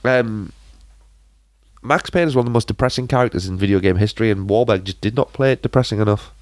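A man's voice: lowish voice, quick pace, darkish tone, dry recording, clean studio sound. A British man co-hosting a podcast, chatting casually about films in an informal, rambling and blunt way. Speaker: podcast co-host and film reviewer. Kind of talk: casual film chat.

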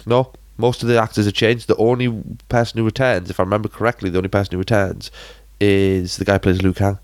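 Deep-voiced stuffy-nosed man. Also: steady tone, quick pace, a little mellow, no echo. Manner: rambling, many fillers and restarts. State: congested from hay fever, sniffing. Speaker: podcast co-host. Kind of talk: casual chat.

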